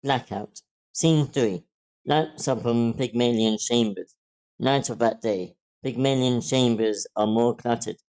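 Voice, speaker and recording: A man's voice: mid-range voice, measured pace, darkish tone, low-quality recording. A man reading stage directions as scripted narration at a table read.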